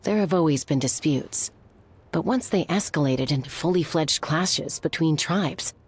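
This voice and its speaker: Old granny elder in anime game, grave, low raspy voice. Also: female voice, measured pace. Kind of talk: anime-style video game voice acting.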